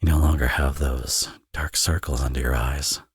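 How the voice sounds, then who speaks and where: A man's voice: rumbling low, fairly even intonation, normal speed, breathy edge, no echo, heavily acted. A man playing a teasing, flirtatious villain and doting father in an intimate romance audio roleplay. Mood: smug teasing. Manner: playful, smug, sarcastic teasing.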